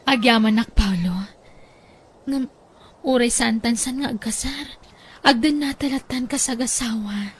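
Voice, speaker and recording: A woman playing a gentle, patient working mother who speaks softly and politely in a radio-style drama. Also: fairly high pitch, a little slow, pauses often, husky.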